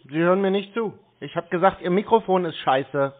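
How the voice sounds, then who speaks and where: A man's voice: sits high, brisk. A man with a blunt, mocking manner, talking off the cuff in a prank phone conversation. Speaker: scam-baiter taking phone calls. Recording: tinny phone line with background chatter.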